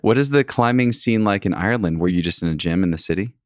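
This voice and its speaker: A man's voice: lowish voice, quick pace, dry recording, studio quality. A man hosting a climbing podcast, chatting in a friendly, rambling way with asides. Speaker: podcast host. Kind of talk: casual interview chat.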